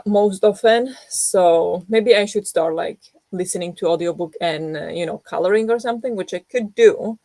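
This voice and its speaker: A young woman who has just woken up talks rambling and unhurried to her viewers, full of fillers and restarts. Her voice sits a little low and sounds groggy. The room sound is dry and close. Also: a little mellow, no room sound. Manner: rambling, self-deprecating, many fillers and restarts. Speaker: book vlogger hosting a reading sprint. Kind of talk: casual chat to viewers.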